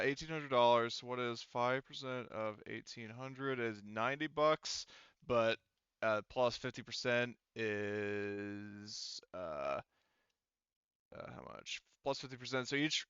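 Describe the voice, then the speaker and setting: Man with smooth, slightly muffled voice, game mastering. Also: mid-range voice, fairly bright tone, dry recording. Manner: halting, thinking aloud through sums. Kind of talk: running an online tabletop game, casual. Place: remote online voice call.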